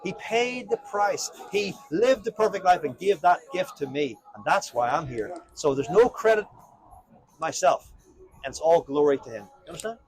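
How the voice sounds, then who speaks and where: A man's voice: a little high, animated, normal speed, rough recording. A man speaking as a street evangelist, explaining earnestly and informally, often putting questions to his listener. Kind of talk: informal street preaching and conversation. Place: outdoors on a town street.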